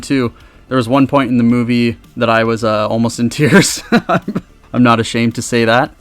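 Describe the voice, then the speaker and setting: A man hosting a countdown review in a casual, chatty, enthusiastic way, speaking close to the microphone. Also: mid-range voice. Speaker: video host and reviewer. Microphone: close USB microphone.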